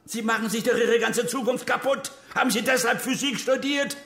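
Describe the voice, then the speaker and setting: A man's voice: extremely high pitch, fairly even intonation, normal speed, a bit bright. An actor playing a stern, agitated older army major and father in a radio crime drama. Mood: agitated reproach. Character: stern, agitated East German army major, father, recounting his past.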